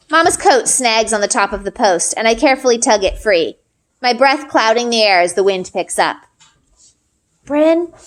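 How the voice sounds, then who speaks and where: A woman reading a story aloud expressively, as a teacher would, acting out the dialogue. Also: medium pitch.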